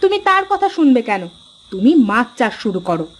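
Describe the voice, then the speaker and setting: Woman acting a high-pitched, spirited village wife. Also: very animated, fairly quick. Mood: concerned, defiant. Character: village fisherman's wife, spirited, encouraging, plain-spoken. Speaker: cartoon character voice. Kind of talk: Bengali cartoon story dialogue.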